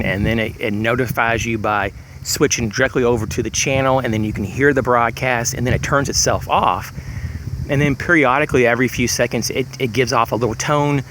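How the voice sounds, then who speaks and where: A man giving an informal, unscripted gear demonstration in a halting, rambling way, like a hobbyist vlogger. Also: mid-range voice, a bit bright. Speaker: prepper vlogger presenting gear. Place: outdoors, in breezy weather. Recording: clear background noise, outdoor ambience.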